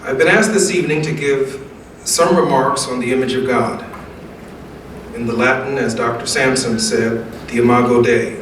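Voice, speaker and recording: A man's voice: medium pitch, fairly even intonation, a bit bright. Echoey, distant American man giving a speech. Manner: measured, emphatic, rhetorical repetition. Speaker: guest speaker delivering remarks. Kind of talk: formal oratorical speech, preacher-like. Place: large echoey indoor event room. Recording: very echoey room, distant mic, faint noise.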